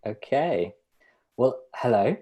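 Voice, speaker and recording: A man working as a sign language interpreter, voicing a student's presentation in the first person over a video call, halting at times with restarts and small laughs. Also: medium pitch, lively intonation, dark tone.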